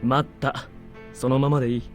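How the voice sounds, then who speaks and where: An actor voicing an easygoing military superior in a visual novel, casual and teasing in manner. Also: male voice, medium pitch, little pitch movement, a little fast, lightly acted. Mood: casual command. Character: easygoing, joking male military superior officer. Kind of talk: Japanese visual novel voice acting. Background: game music.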